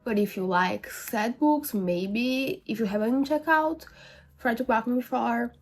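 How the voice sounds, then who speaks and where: A woman's voice: fairly high pitch, a little slow. A woman whose first language is not English, giving a casual, rambling book review with many fillers and self-corrections. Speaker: book vlogger.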